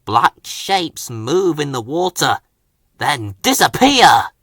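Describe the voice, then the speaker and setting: A man's voice: a little high, very animated, slow, sharp tone, no room sound, full character voice. A man voicing a cheeky, sometimes huffy young male tank engine in a children's storybook. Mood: mysterious.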